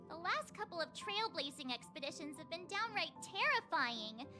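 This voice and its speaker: A woman voicing a perky, excitable teenage girl adventurer in a video game, playful and dramatic. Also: very high-pitched, moderate pace, over music. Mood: pleading, whiny.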